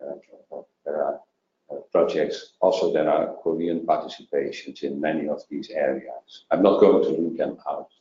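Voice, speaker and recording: Low, mellow male presenter, hesitant, roomy dull recording. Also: steady tone, a little hiss or hum. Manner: halting, many fillers and restarts. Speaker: webinar presenter. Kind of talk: presenting slides in a webinar.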